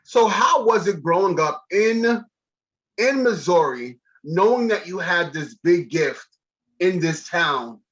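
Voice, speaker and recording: A man's voice: sits high, expressive, slow, a bit bright. An American man in his thirties interviewing a guest casually and informally over a video call, with an enthusiastic manner. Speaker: talk show host, interviewer. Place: small room indoors, on a video call. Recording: slightly dull video-call audio.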